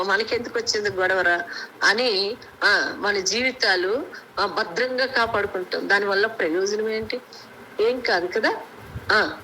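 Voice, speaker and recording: Bright-voiced Indian woman preaching, noisy call. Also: a little high. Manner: earnest, halting with repeated restarts. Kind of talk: extemporaneous Bible sermon. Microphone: conference call. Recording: noisy conference call audio.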